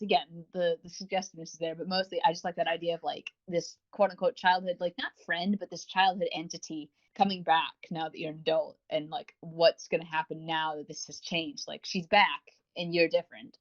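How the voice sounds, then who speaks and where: A woman's voice: on the low side, little pitch movement, fairly quick, hardly any pauses, muffled recording. A woman co-hosting a podcast, chatting casually and effusively as she reviews audio dramas. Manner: effusive, informal. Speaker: podcast co-host.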